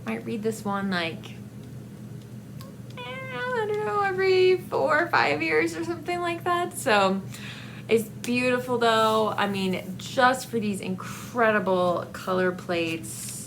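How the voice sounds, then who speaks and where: A woman book vlogger talks casually and haltingly, with a noticeable creak in her voice. She rambles and pauses often as she feels for her words. There is faint room noise behind her. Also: on the high side, expressive. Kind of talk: casual book review chat to camera.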